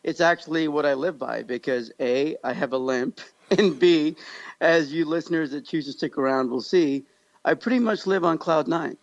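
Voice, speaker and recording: An American man with a slightly high voice and a creaky, gravelly edge chats as a podcast co-host. He tells stories in an upbeat, jokey, conversational way. The audio is a little dull and muffled. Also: normal speed. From Pakistan-born, grew up in Kansas, United States. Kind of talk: casual conversational podcast chat.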